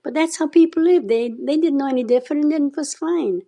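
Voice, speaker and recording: An older woman in her nineties with a Hungarian-Czech accent and a warm, mellow tone. She tells her story unhurriedly, checking in with a frequent questioning "okay?". Her voice sits somewhat high. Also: brisk. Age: 90s. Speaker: interview guest. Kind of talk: oral history interview.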